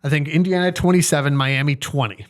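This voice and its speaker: A man co-hosting a sports podcast, thinking aloud in a casual, measured and somewhat hesitant way. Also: fairly high pitch.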